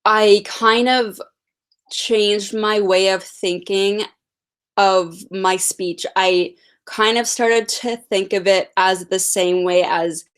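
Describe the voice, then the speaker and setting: A nineteen-year-old woman who stutters, chatting slowly and casually on a livestream as she answers viewer questions. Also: medium pitch, steady tone, smooth. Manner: stutters with repeated sounds and blocks. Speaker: livestream host.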